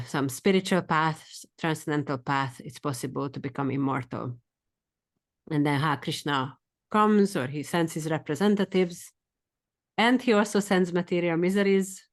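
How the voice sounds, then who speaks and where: A woman with a Hungarian accent teaching a scripture study class, quick and eager, sometimes speeding up. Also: low-pitched.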